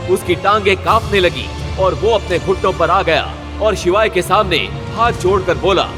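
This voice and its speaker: A man's voice: high voice, normal speed, over music. A man narrating a scripted audio drama in a suspenseful, dramatic style.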